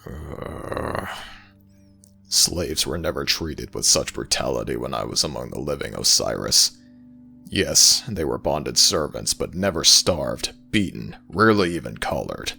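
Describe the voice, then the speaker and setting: A guarded god of the dead for an audio roleplay. His voice is extremely low and crackles with gravel, with a forceful edge. He speaks in measured, formal phrases, grave and terse. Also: animated, faint music behind, heavily acted. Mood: troubled, indignant. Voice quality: deep, gravelly growl.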